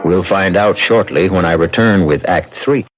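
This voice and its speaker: A man hosting and narrating an old-time radio drama in a deliberate, wry, theatrical way. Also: low-pitched. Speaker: host and narrator. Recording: dull vintage radio broadcast. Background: music.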